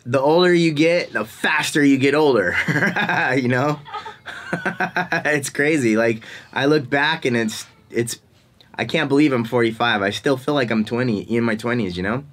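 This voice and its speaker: A man in his forties hosts a casual podcast chat in a mid-pitched voice. He rambles along, laughs easily and loses his thread now and then. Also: a little slow, a bit bright. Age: 40s. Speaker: podcast host.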